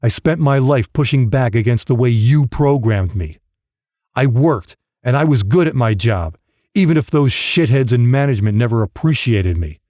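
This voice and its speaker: A man's voice: medium pitch, expressive, moderate pace, mellow, no echo, clean studio sound, natural voice. Man acting a bitter, defiant man near sixty. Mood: bitter defiance. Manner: resentful, self-justifying, defiant. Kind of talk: audiobook character monologue.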